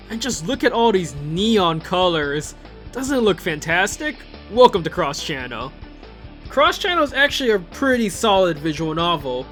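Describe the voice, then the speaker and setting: A man's voice: sits high, a bit bright. A man hosting a casual video commentary, conversational and opinionated, often asking rhetorical questions. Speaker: video host and reviewer. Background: upbeat music from the videos being reviewed.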